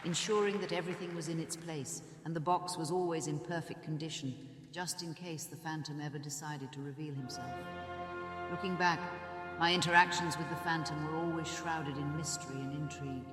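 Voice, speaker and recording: An actor voicing a dutiful, wary box keeper at an opera house, narrating in an earnest, measured way. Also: medium pitch, steady tone, lightly acted. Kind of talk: audio drama monologue. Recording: echoey hall reverb with faint music.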